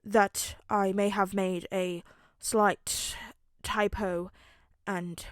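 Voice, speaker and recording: A woman voicing a flustered middle-aged man who speaks slowly and hesitantly. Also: mid-range voice, flat delivery, no room sound, studio quality. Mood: sheepish. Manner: hesitant and slow.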